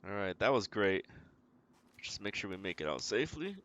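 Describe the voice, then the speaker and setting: A man's voice: lowish voice, big pitch swings, normal speed, fairly bright tone, lo-fi sound. A man giving casual gameplay commentary as a streamer, talking to himself in short remarks. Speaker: game streamer. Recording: noisy mic with clicks and keyboard typing. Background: keyboard typing and clicking.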